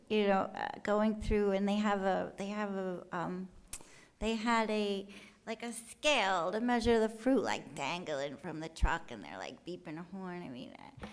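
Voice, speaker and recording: A woman speaks at a very slow pace in a casual artist talk. Her delivery is colloquial and offhand, wandering from thought to thought with a joking touch. She addresses a live audience without a script. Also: medium pitch, clean tone. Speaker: artist giving a talk about their work.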